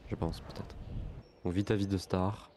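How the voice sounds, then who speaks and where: A man improvising as a lovelorn, self-doubting suitor in a roleplay game, speaking in a reserved, halting way. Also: deep voice, steady tone, noisy background, lightly acted. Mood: resigned. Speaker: roleplayer in an online game server.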